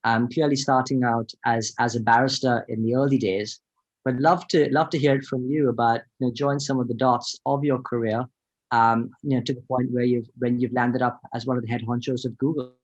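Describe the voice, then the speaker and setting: A man's voice: medium pitch, fairly even intonation, fairly quick, no room sound. A man hosting a podcast, asking an admiring, enthusiastic question. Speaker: podcast host. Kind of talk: interview question. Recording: dry, dull lo-fi sound.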